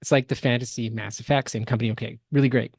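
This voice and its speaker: A man co-hosting a podcast, explaining things in a casual commentary style with halting, emphatic phrasing. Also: mid-range voice, fairly even intonation, a little mellow, breathy edge, no echo, clean studio sound. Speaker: podcast co-host.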